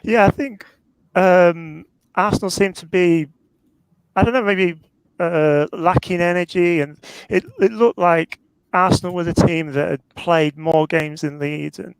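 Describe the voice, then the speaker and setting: A man's voice: a little high, creaky, smooth, dry recording, rough recording. A man appearing as a guest on a football podcast, talking in a hesitant, thoughtful way with many fillers. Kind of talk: casual football chat.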